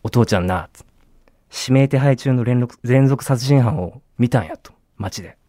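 A man's voice: medium pitch, moderate pace, dark tone, dry recording, studio quality. A man co-hosting a podcast, chatting casually about films and retelling plots like a storyteller building suspense. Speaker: podcast co-host and show writer recommending films.

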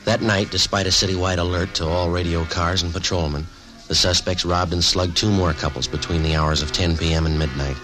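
A man playing a calm, businesslike police detective in a 1950s radio drama, speaking in a clipped, understated, matter-of-fact way. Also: sits low, brisk, rough recording. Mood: grim, factual. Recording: vintage radio recording with music.

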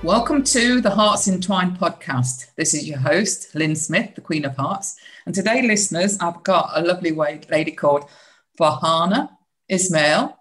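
A woman with a notably low voice hosts a podcast interview. She asks upbeat, probing questions and often restarts her sentences as she goes. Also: lively intonation, normal speed, a bit bright, faint music behind, rough recording. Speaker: podcast host.

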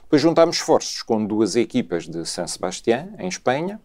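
A man with a European Portuguese accent, a scientist explaining his research in a measured way with frequent repetitions. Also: fairly low pitch, big pitch swings, a little mellow. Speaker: scientist guest.

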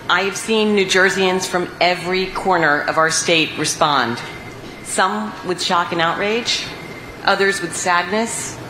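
Low-voiced woman speaking in an echoey hall. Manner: earnest, measured, reading prepared remarks. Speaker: politician's spouse giving a speech. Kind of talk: prepared public speech. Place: event hall at a hospital. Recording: echoey room, noisy background.

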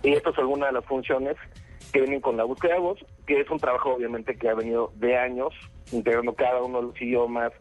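A man's voice: mid-range voice, fairly even intonation, fast, soft background music, low-quality recording. A Mexican man answering interview questions as a company spokesman, explaining at length with fillers. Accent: Mexican Spanish.

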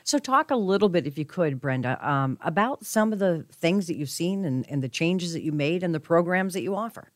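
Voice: medium pitch, animated, brisk, few pauses, no room sound, studio quality. An interview host asking questions in an encouraging, affirming way. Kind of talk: interview hosting.

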